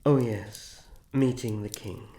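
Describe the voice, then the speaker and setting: A man playing a young elf prince in a fantasy ASMR roleplay, formal, soft-spoken, gentle and protective. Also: lowish voice, slow pace. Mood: courteous, thoughtful. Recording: roomy echo, faint background noise.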